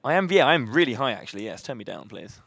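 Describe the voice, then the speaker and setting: Male host, mid-range pitch, loud and chatty, upbeat live-event patter. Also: very animated, fast, creaky, breathy edge, dry recording. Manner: upbeat, chatty, many fillers and restarts. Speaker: charity marathon host.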